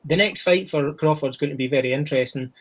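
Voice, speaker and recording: A man's voice: fairly high pitch, fast talker, a bit bright. A Scottish man on a boxing podcast, chatting casually with a lot of restarts and "you know"s. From Scotland. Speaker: boxing podcast panelist named Chris. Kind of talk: casual chat.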